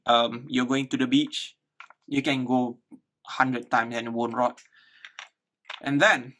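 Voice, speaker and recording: Higher-voiced man giving a casual DIY demo. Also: a bit bright. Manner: conversational, thinking aloud with repeated words. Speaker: DIY tutorial host. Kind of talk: casual hands-on how-to explanation. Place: small living room indoors.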